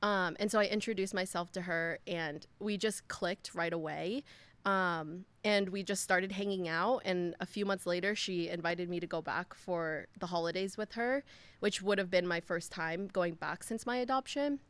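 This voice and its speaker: A woman's voice: on the low side, moderate pace, fairly bright tone, clear, resonant tone, dry recording. A young woman, a podcast guest, telling a personal story in a chatty way with lots of fillers. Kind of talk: casual storytelling.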